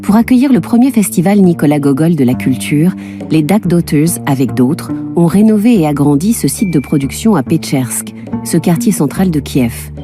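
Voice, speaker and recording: Low-voiced woman narrating a documentary. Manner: measured, even narration. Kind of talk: scripted voice-over narration. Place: recording studio. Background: music.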